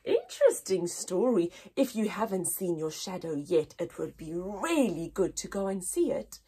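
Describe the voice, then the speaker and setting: A woman reading aloud to young children as a story-time teacher, expressive and sing-song with exaggerated wonder and a breathy voice. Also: fairly low pitch, normal speed.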